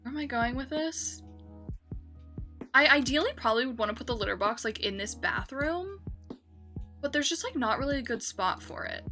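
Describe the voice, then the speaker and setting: A woman's voice: high voice, expressive, moderate pace, pauses often, sharp tone, faint music behind. A young woman streamer chatting casually while building in a game, rambling and correcting herself with lots of fillers.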